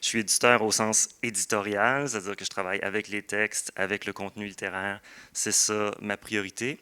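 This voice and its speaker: A man's voice: fairly low pitch, little pitch movement, a little fast, sharp tone, smooth. A man with a Québécois French accent answering thoughtfully in a panel discussion, often searching for words as a literary editor. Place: book fair round table.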